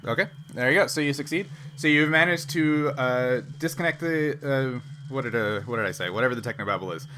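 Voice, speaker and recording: A man acting as game master in a tabletop role-playing game, explaining rules and improvising in a halting way. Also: mid-range voice. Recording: clear background noise with ambience.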